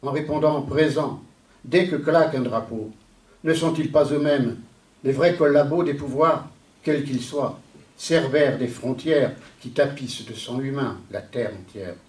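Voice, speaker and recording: A man's voice: mid-range voice, darkish tone. Echoey, distant man reciting solemn poetry. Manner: declamatory, measured phrasing with deliberate pauses. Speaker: poet reciting a poem.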